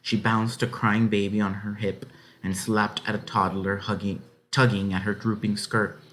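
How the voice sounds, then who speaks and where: A man reading a children's story aloud as an expressive narrator. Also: on the low side, moderate pace, a little hiss or hum.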